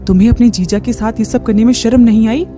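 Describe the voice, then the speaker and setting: Woman storyteller as straightforward, earnest wife in a moral tale, mid-weight mellow voice. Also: on the high side, fairly even intonation, fast talker, faint music behind, close to an everyday voice. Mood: angry. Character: trusting wife and nurse, wronged and stern.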